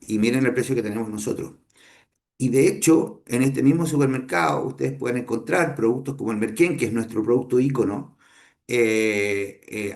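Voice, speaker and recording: A middle-aged man with a Chilean accent lectures students. His voice is gravelly and pitched mid-range for a man. He talks it through unhurriedly and often stops to rephrase. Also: a little mellow. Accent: Chilean Spanish. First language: Spanish. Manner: unhurried, thinking aloud with many fillers and restarts. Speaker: business owner teaching a class.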